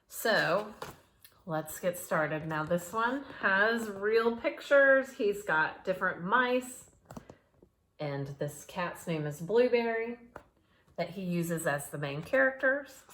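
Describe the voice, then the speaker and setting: A woman's voice: mid-range voice, animated, takes it slowly, fairly bright tone, slight background noise. A woman reading a children's story aloud dramatically, with chatty asides to her young listeners. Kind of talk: animated read-aloud storytelling.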